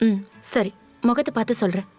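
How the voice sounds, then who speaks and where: A woman's voice: a little high, a little fast, darkish tone, breathy edge, soft background music. An actress playing a frank, reproachful young woman secretly in love, speaking directly and challengingly in conversational short-film dialogue. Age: young adult.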